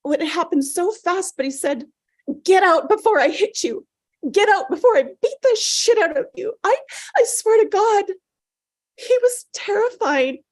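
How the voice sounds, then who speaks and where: A woman playing a grieving, guilt-ridden mother with halting, anguished delivery in a dramatic script reading. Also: extremely high pitch, animated. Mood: distraught, frightened.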